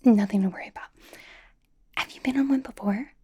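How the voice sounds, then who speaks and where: A woman playing a bubbly, anxious, caring young K-pop idol in an ASMR roleplay, with an American accent, a soft breathy whisper and a chatty, rambling, reassuring way of talking. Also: on the high side, fairly quick. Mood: nervously reassuring. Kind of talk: ASMR personal attention roleplay.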